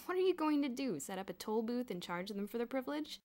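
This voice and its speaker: Woman as an anxious, high-pitched schoolboy sidekick. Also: sing-song, fast talker, a little mellow. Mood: exasperated.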